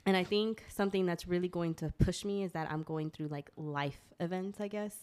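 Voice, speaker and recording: A woman's voice: lowish voice, steady tone, a little fast, a little mellow, smooth. A woman, guesting on a casual podcast, talking frankly, swearing and laughing often. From Texas. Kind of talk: casual chat.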